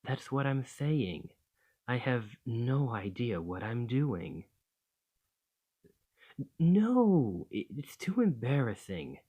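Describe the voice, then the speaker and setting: A man voicing a gentle, bashful dragon in a romantic ASMR roleplay, tender and hesitant, doting on a partner. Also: medium pitch, very animated, slow, long pauses, breathy edge, dull audio, exaggerated voice. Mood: flustered, embarrassed. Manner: flustered, hesitant, tender, with sighs and stammers.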